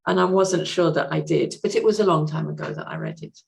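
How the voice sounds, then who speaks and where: A woman speaking as a podcast guest, discussing literature casually in a measured, considered way. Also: deep voice, creaky, a little mellow.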